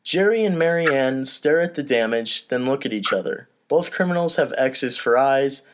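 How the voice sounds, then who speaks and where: Male host-narrator for a comedy script read, mid-pitched, informal, self-deprecating asides. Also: lively intonation, moderate pace, slight background noise.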